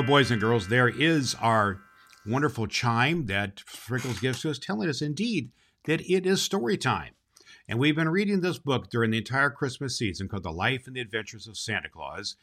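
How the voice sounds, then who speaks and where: Jolly old Santa played by a man for a children's holiday show; fairly low voice, folksy, emphatic delivery. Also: fairly bright tone. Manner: folksy, emphatic, repeats phrases. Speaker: host. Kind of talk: children's holiday show hosting and story reading.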